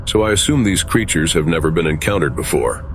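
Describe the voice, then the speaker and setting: A man acting in an audio drama as a calm, principled starship captain, measured and authoritative, with rumble behind him. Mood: thoughtful, decisive. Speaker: lead actor playing a starship captain.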